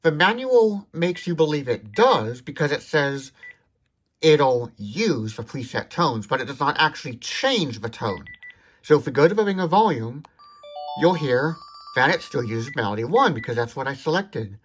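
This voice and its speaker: A man's voice: on the high side, lively intonation, moderate pace, husky. A man giving an explanatory product demonstration in a methodical, precise way, stressing key words. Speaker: tech demonstrator.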